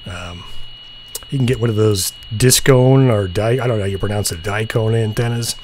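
A middle-aged man chatting casually and musing in an unhurried, low-key way as he hosts a hobby video. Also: darkish tone. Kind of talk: casual chat while demonstrating radio software. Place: small indoor home room. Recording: humming, clearly noisy home recording.

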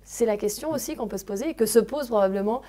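A woman's voice: a little high, mellow. A woman in her thirties hosting a studio interview, asking questions with frequent hesitations. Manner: brisk questioning with filler hesitations. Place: TV studio set. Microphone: handheld mic.

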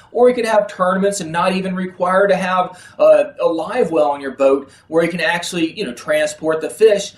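A middle-aged man hosting a fishing video, explaining things to camera in a casual, earnest way, with frequent restarts and fillers. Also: fairly high pitch. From Pennsylvania, USA. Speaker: kayak fishing video host. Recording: roomy, slightly distant sound.

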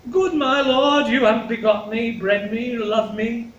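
A man playing an honest, dutiful youngest princess who speaks plainly and earnestly, in measured phrases. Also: extremely high pitch, lo-fi sound, voice pushed up. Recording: roomy, distant mic, faint noise.

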